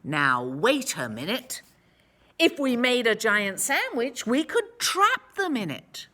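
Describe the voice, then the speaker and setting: A woman voicing an excitable village baker in a storybook, rallying people with emphatic, rhythmic orders. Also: fairly high pitch, slow pace, full character voice. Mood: eager, urgent. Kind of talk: storybook read aloud.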